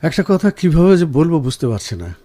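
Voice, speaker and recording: A man playing a caring, reassuring husband in a radio drama, speaking in an earnest, measured way. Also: fairly high pitch, very animated, dark tone, no echo. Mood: worried. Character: caring, reassuring husband, senior professional, firm but gentle. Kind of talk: Bengali radio drama acting.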